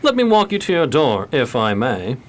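A man playing a courtly, uneasy gentleman gambler in a 1920s period radio drama, speaking formally. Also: mid-range voice, wildly swooping pitch, moderate pace.

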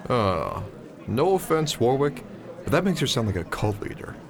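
A man's voice: mid-range voice, big pitch swings, moderate pace. A man playing a naive, wide-eyed, awkward college freshman in an audio drama, speaking in a hesitant, curious, slangy way. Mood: uneasy, awkward.

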